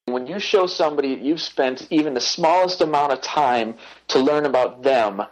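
A man from the United States, talking casually as an interview guest, chatty and quick to laugh at himself, with many fillers. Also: medium pitch, a little hiss or hum, rough recording. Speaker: interview guest, language learner.

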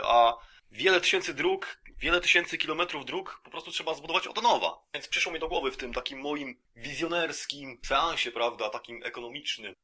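A man with a very bright, forceful voice at an average pitch hosts a satirical podcast. He talks like a mock lecturer, rambling along conversationally and keeping a mock-serious front. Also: slightly rough. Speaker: podcast host.

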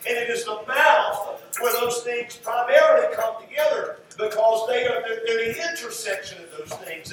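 High-voiced male preacher in an echoing room. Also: fairly bright tone, slight background noise. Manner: emphatic, repeats phrases for stress. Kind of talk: sermon with scripture teaching and prayer. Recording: roomy echoing hall, distant mic, music.

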